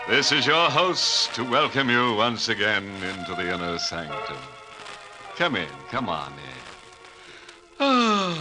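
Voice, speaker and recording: An actor playing a gleefully macabre horror host on a 1940s radio show, with sly, theatrical, punning delivery. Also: male voice, medium pitch, low-quality recording. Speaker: horror anthology host and narrator. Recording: old radio recording with music.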